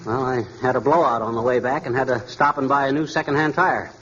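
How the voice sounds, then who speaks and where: An actor playing a boastful, bumbling American husband in 1940s radio comedy, full of folksy slang and bluster. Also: male voice, mid-range voice, steady tone, quick pace, a crowd in the background, lightly acted. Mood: sheepish bluster. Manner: folksy slang, tall-tale bragging. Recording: muffled, noisy 1940s radio recording.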